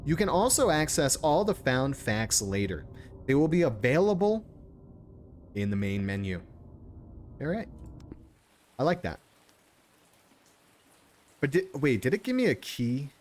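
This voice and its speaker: A man's voice: mid-range voice, big pitch swings, unhurried, pauses often, slight background noise. A man giving casual live commentary as a game streamer, informal and joking, sometimes reading on-screen text aloud.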